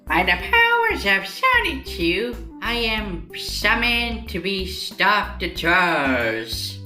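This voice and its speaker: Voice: medium pitch, very animated, slow pace, sharp tone, roomy sound, soft background music, full character voice. A performer voicing a pompous, grandiose summoned hero in a parody cartoon dub.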